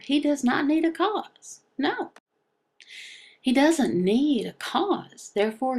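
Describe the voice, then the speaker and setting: A woman giving an explanatory religious lesson in short, emphatic, halting phrases, often asking and answering questions. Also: high voice, darkish tone, husky. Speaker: teacher voicing a signed religious lesson.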